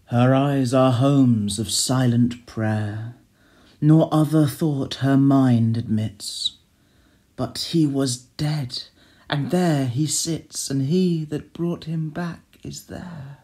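Slow, solemn man reciting classic poetry. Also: medium pitch. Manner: solemn, measured, expressive delivery with hushed line endings. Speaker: poetry reader. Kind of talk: dramatic recitation of classic verse.

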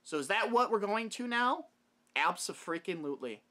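A man's voice: extremely high pitch, normal speed, bright, forceful tone. A young man talking casually and emphatically to camera, giving his opinions as a video host in a room at home. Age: young adult. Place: small wood-paneled room at home.

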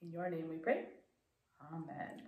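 A woman's voice: sits low, measured pace, warm tone. A middle-aged woman reading scripture and praying as the presenter of a children's message. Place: small room indoors. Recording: echoey room, distant mic.

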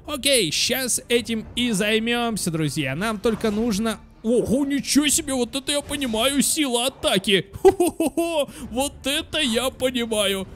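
A young man giving casual, animated let's play commentary for children, exclaiming and thinking aloud as he goes. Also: high voice, slight background noise. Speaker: host of children's gaming channel. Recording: music behind. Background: game music.

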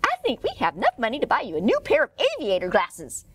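A woman's voice: fairly high pitch, wildly swooping pitch, fast, gravelly, breathy edge, dry recording, full character voice. A woman voicing a kind, lonely female desert snake in a storybook, eager and sweetly polite.

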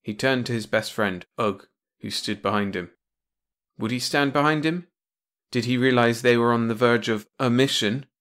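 Male audiobook narrator, mid-pitched, scripted reading of comic pulp science fiction. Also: normal speed, a bit bright.

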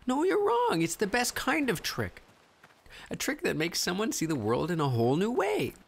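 A man voicing a cunning, brash, theatrical trickster raven with a creaky voice in an animated film. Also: sits high, moderate pace, heavily acted. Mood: insistent.

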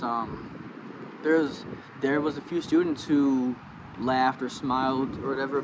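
Young man who stutters, slow, outdoors. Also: mid-range voice. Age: young adult. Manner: stutters with blocks and sound repetitions; slow, hesitant, many fillers. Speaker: vlogger. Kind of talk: personal talk to camera. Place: under an outdoor park pavilion. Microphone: small handheld mic close to mouth. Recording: outdoor traffic noise.

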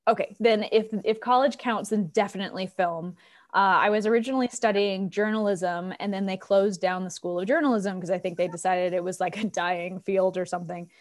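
Chatty, animated American woman. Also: mid-range voice, a little fast. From United States, Colorado. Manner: chatty, animated, giggly, informal. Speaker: interview guest, filmmaker. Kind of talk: casual chat interview. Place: living room on a video call. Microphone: wired earbuds on a video call.

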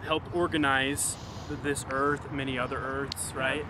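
A man's voice: medium pitch, flat delivery, a little slow. A young man in a street debate, easygoing and conversational. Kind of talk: outdoor debate. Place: outdoors on a city street. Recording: outdoor street noise.

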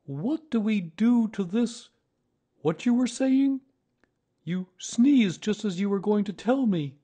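Little storybook bear, way up high, humble n dreamy, simple earnest way of talking, swoopy. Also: male voice, lively intonation, takes it slowly, mellow, husky, full character voice. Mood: puzzled, insistent. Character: small, humble, slow-witted, dreamy bear. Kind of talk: children's storybook character voice.

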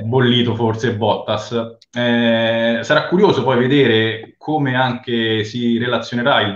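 A man in his forties hosting an interview on a video call, asking questions in a relaxed, conversational way. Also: mid-range voice, roomy sound, muffled recording. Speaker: host. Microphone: video call webcam.

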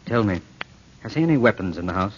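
An earnest, protective detective played by a man questions and reassures with urgency in a low, very animated voice. The 1940s radio drama recording is muffled and slightly noisy. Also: quick pace, natural voice. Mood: probing.

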